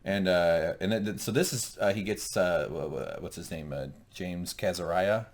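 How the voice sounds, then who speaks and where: A man's voice: low-pitched, normal speed. A man co-hosting a casual TV recap, rambling, restarting his sentences and swearing as he laughs at himself. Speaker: podcast co-host.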